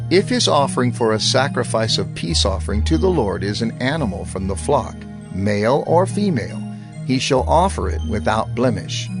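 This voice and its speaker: A man reading scripture in a steady, formal, expressive way as part of a dramatized Bible recording. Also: mid-range voice. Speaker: scripture reader. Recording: studio voice with music underneath. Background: music.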